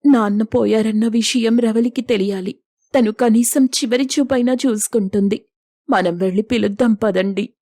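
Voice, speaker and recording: Woman acting emotional young elder sister, higher-pitched. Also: steady tone, normal speed, dark tone, dry recording, studio quality. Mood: pleading. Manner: earnest, emotional. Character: self-sacrificing young elder sister, hurt, proud and protective. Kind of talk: acted dialogue in an audio story.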